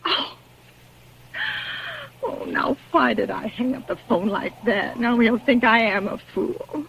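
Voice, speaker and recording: A woman's voice: fairly high pitch, slow, vocal fry, fairly bright tone, husky, exaggerated voice. A woman in 1940s radio acting, playing a nervous, demanding bedridden invalid who stammers when flustered, on a lo-fi vintage radio recording. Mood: frantic. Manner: stammering, frantic, petulant.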